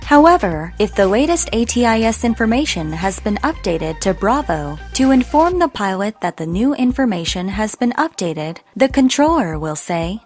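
A woman reading scripted educational narration in a measured, deliberate way, enunciating carefully. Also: mid-range voice, sing-song, faint music behind. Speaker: narrator of an explainer lesson.

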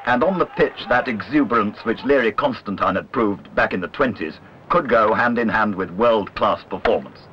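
A man reading a literary documentary narration in a measured, wry way. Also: on the low side. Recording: dull lo-fi old film soundtrack, clear noise.

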